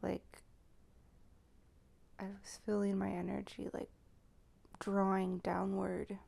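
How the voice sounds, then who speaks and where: A woman guides a meditation in a very creaky, gravelly-edged soft voice that often drops toward a whisper. Her delivery is slow and halting, with long pauses as she improvises. The tone is mellow and stays fairly even. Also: mid-range voice.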